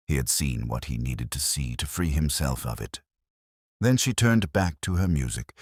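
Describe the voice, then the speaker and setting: Male actor as a brooding inspector, extremely low voice, expressive swings in pitch, measured literary delivery, audio mystery narration. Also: no room sound, studio quality, exaggerated voice. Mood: wry, reflective. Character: world-weary, brooding male English police inspector recounting a case. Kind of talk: scripted first-person mystery narration.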